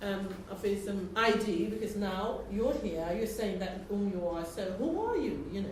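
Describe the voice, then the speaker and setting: A woman's voice: mid-range voice, animated, moderate pace, a little mellow. A woman recounting events in a group discussion, correcting herself as she goes and agreeing emphatically. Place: indoors at a community centre, distant mic. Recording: echoey room from far off, noisy.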